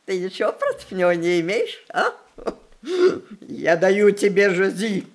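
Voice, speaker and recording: A woman's voice: medium pitch, lively intonation, measured pace. An actress playing a willful, mischievous old grandmother in a theatrical radio play, commanding and capricious. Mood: bossy, affectionate. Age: older. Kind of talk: Russian stage-play radio acting.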